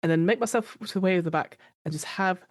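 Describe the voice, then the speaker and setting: Woman, low voice, tabletop role-player, casual improvised chat. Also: quick pace.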